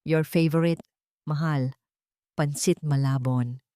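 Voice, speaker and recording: Babaeng gumaganap na batang asawang lalaki, mahina at mabagal magsalita, malambing sa tono. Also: female voice, low-pitched, fairly even intonation, takes it slowly, mellow, smooth, dry recording, clean studio sound. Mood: soothing. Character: young husband, loving on the surface.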